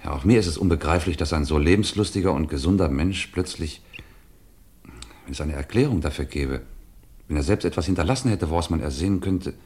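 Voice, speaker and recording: An actor playing a composed, probing police commissioner in a German radio crime drama, speaking in a measured, courteous way. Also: male voice, low-pitched, darkish tone, natural voice. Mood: sympathetic, probing.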